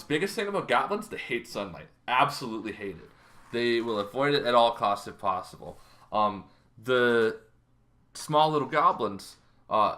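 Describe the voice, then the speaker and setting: A man's voice: mid-range voice, sharp tone. A man in his thirties giving a casual, conversational explainer on tabletop game monsters as host, recorded in a bedroom. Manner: conversational, informal. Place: bedroom, indoors.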